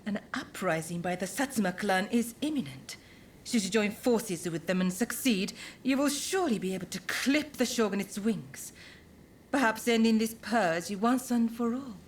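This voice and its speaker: A woman's voice: mid-range voice, animated, a little hiss or hum, natural voice. A woman playing a poised, formal informant bearing grave news in a video game cutscene. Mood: composed, urgent. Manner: formal, composed, deliberate wording.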